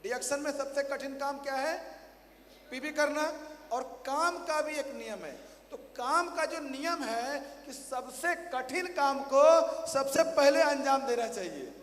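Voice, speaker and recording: A man with a very high voice and a hoarse throat, speaking on a stage microphone to a big crowd. He keeps throwing questions out and repeating them louder. The sound carries a long, roomy echo from the large hall. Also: a little slow. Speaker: motivational speaker at a company training event. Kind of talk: rousing stage speech to a large audience. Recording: echoey hall, faint ambience.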